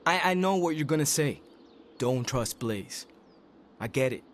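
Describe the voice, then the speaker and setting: An actor playing a stubborn, anxious young hero in a video game, with a defensive, sardonic way of talking. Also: male voice, fairly high pitch, animated, a little slow, slight background noise. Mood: uneasy.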